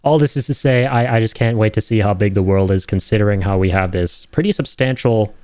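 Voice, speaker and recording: A man's voice: lowish voice, a little fast, a little mellow. A young man hosting a casual reaction, enthusiastic and rambling, with lots of fillers and "right?" tag questions. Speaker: host reacting to game trailers.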